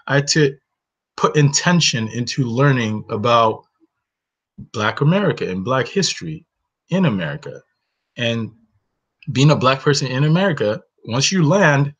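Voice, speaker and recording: A man's voice: mid-range voice, fairly bright tone, breathy edge. A man in his thirties, raised in Northern Nigeria, hosting a webinar from a small bedroom on his webcam, talking earnestly about his own story with many "you know"s. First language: Hausa. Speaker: webinar host. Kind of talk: reflective solo webinar talk. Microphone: webcam, close framing. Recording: dull lo-fi webcam sound.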